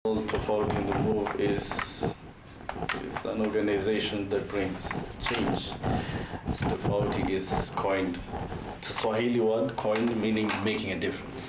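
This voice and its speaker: A man, explaining his organization's work in a deliberate way and defining terms as he goes. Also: on the low side, flat delivery, roomy sound, recorded from a distance, heavy background noise. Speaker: organization spokesman. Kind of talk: interview explanation.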